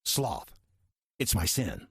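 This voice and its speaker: An actor playing a slothful demon posing as a friendly churchgoer, casual, sardonic and persuasive, with a rough, husky voice in an audio drama. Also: male voice, fairly low pitch, very animated, slow pace, a bit bright, dry recording, clean studio sound, full character voice.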